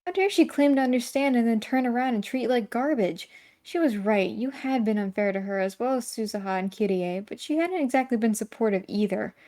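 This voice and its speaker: A woman's voice: a little high, fairly quick, mellow. A woman narrating a visual novel aloud as scripted story reading, with casual asides.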